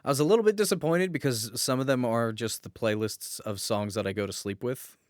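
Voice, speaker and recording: A man's voice: mid-range voice, a little fast, no echo, studio quality. A man co-hosting a podcast in casual comedic banter, joking with crude humour. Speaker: podcast co-host.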